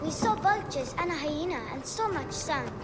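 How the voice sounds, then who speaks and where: A woman's voice: high-pitched, expressive, moderate pace, music in the background, low-quality recording. A woman voicing an earnest, fiery young child in a video game drama. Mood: eager.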